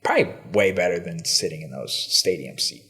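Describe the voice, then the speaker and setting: A man's voice: low-pitched, brisk, fairly bright tone. A man in his thirties hosting a podcast, chatting in a laid-back, slangy way with lots of fillers. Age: 30s. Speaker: podcast host. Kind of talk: casual chat.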